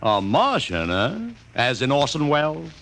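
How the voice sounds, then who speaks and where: A money-minded agency boss, breezy and wry, with a bright, animated voice. He talks in slang in classic radio drama style, on a slightly noisy old recording. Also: mid-range voice, a little slow, heavily acted. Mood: wry, amused. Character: brisk, wisecracking, money-minded male advertising agency boss.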